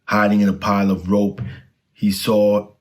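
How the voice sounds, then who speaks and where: Guy with a low voice reading a kids story out loud, narrator style. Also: little pitch movement, unhurried. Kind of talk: scripted storybook read-aloud for children.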